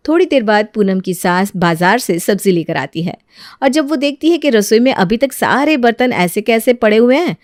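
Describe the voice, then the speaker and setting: A woman's voice: a little high, expressive, normal speed, no room sound, clean studio sound. A woman telling a scripted household moral story as its narrator.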